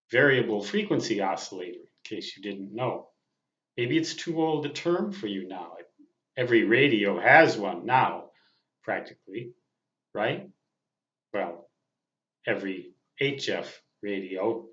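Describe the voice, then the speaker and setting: A man in his sixties with a mid-pitched voice talks slowly and deliberately, in a casual, reflective monologue. He halts between phrases and leans on some for emphasis. The sound is slightly dull, with noticeable room echo. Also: big pitch swings, fairly bright tone. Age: 60s. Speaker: veteran amateur radio operator. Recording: muffled audio in a roomy space.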